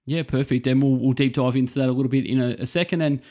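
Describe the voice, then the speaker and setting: A man's voice: mid-range voice, fairly even intonation, fast talker, a little mellow. A man hosting an interview show, introducing his guest and asking questions. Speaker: podcast host, interviewer.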